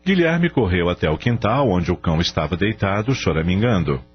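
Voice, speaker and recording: A man with a fairly low voice narrates a radio drama from a script. His intonation moves widely, over faint music in a dull recording. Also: animated, fairly quick, husky.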